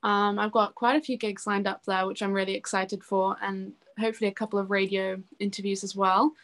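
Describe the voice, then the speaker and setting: A woman's voice: mid-range voice, level tone, fairly quick, a bit bright. A young woman talking casually and enthusiastically as an interview guest, in a chatty, informal way. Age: young adult. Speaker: interview guest, singer-songwriter. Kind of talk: casual chat.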